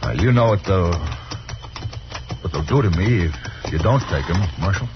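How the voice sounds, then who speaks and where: An actor playing a scheming, nervy American gambler outlaw in an old western radio drama, insistent and wheedling. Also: male voice, expressive, moderate pace, over music. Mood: nervous, pleading. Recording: dull old radio broadcast, loud vehicle rumble. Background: loud truck engine noise.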